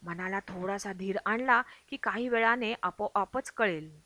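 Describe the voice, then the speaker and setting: A woman's voice: medium pitch, a little slow, bright, forceful tone, no echo. A woman reading a travel memoir aloud as scripted audiobook narration. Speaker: audiobook reader.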